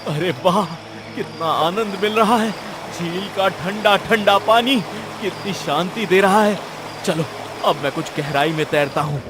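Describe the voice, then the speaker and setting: A man's voice: high-pitched, measured pace, background noise. A man voicing a stern, restless king in a cartoon story, with a commanding, haughty way of talking. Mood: dreamy wonder.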